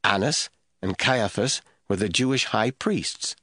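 Low-voiced man narrating scripture. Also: bright, forceful tone, breathy edge, dry recording, clean studio sound. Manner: measured, storytelling.

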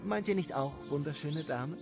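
An actor playing a charming, scheming noble count in an audio story, speaking in a courtly, flattering, effusive manner. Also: male voice, on the high side, normal speed, gravelly, over music. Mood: smooth, persuasive.

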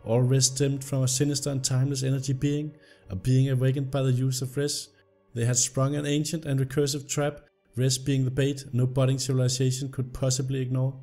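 A young man, slightly hoarse from illness, commenting on a game and reading its story text aloud. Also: mid-range voice, a little fast, few pauses, soft background music. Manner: reads the story text aloud in an even narrating tone. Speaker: gameplay video host. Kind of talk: casual gameplay commentary.

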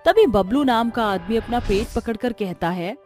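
A woman's voice: on the high side, expressive, moderate pace, a little mellow, faint music behind. A woman reading scripted narration as the storyteller of a cartoon tale.

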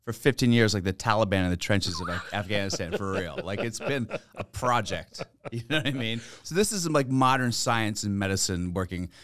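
A man in his thirties from Virginia, a comedian guest, laid-back and self-mocking, close to a boom mic in a small studio. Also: expressive, brisk, hardly any pauses, a bit bright. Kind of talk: casual chat. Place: small podcast studio with foam panels. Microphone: studio mic on boom arm, headphones.

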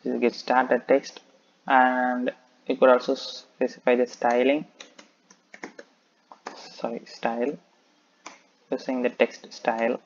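A man's voice: mid-range voice, level tone, takes it slowly, vocal fry, long pauses, lo-fi sound. A man talking through a coding tutorial, explaining step by step and thinking aloud with frequent "okay". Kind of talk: screen-recorded coding tutorial.

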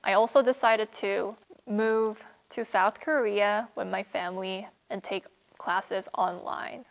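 A young woman gives a candid account of a draining year. Her voice sits at a middle pitch. She speaks frankly, with a weary tone. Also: steady tone, slow pace, vocal fry. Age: young adult. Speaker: student storyteller. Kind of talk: performed personal monologue. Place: indoor room with wooden screens.

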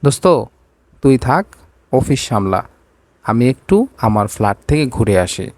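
A man's voice: mid-range voice, animated, unhurried, darkish tone, dry recording. A man voicing a curt, commanding rich boss in a storybook romance, slipping into English. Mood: businesslike. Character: cold, domineering rich male boss in a romance.

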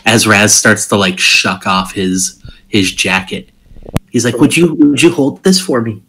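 A man playing a tabletop roleplay game, voicing his character eagerly with many halting fillers. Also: fairly low pitch, a bit bright, slight background noise. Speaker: player.

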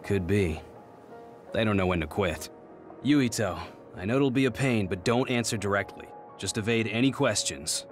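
A no-nonsense squad captain from an anime game, played by a man, with a low voice that moves expressively. He gives orders in a curt, matter-of-fact, slightly weary way. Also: animated, normal speed, soft background music, close to an everyday voice. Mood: weary, firm.

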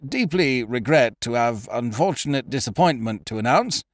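A man voicing a nervous, pompous male theatre announcer who calls out loudly and drops his H's. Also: expressive, no room sound, clean studio sound, natural voice. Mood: flustered announcing. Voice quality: loud, high and drawn-out, dropping his H's.

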